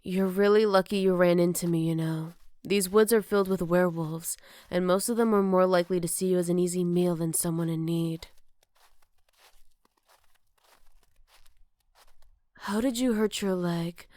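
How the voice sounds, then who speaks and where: A woman playing a gentle, caring motherly werewolf in an ASMR roleplay, soft-spoken with hesitant pauses and tender teasing. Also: lowish voice, steady tone, no room sound, close to an everyday voice. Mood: concerned, calm. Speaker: voice actor. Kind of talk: ASMR roleplay addressed to the listener.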